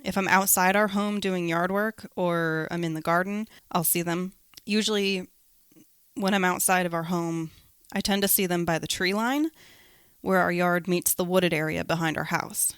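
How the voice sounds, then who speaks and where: A woman hosting a podcast in an informal, conversational way. Her voice is smooth and clear, recorded close and dry. She narrates personal material as if chatting with listeners. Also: on the low side, steady tone, moderate pace, no echo. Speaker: podcast host. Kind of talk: scripted personal storytelling with casual asides.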